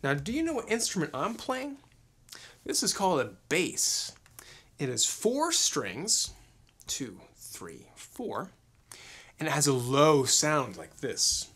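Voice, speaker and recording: A man's voice: a little high, sing-song, takes it slowly, a bit bright, husky. A man in his thirties hosting as a children's music teacher, talking upbeat and encouragingly in simple phrases and questions aimed at little kids. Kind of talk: upbeat talk to young children.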